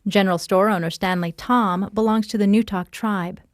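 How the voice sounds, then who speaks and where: A woman's voice: mid-range voice, fairly even intonation, moderate pace, no echo, studio quality. A woman reading scripted narration for podcasts and radio, as a host and reporter.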